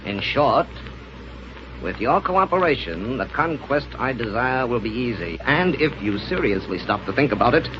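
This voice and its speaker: A ruthless space conqueror , played by a male actor from a 1930s radio serial. He is smug, scheming and menacing, speaking in a commanding way. The sound is muffled and clearly noisy, like an old broadcast. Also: mid-range voice, big pitch swings, normal speed, lightly acted. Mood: persuasive, blunt.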